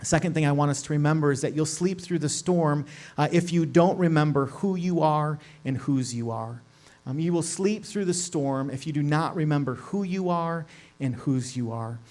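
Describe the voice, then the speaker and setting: Middle-aged guy preaching, chatty sermon style, kinda high voice, keeps saying "right?". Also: moderate pace, a little mellow. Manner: casual, lots of "like" and "right?" asides. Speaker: pastor. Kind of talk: conversational sermon.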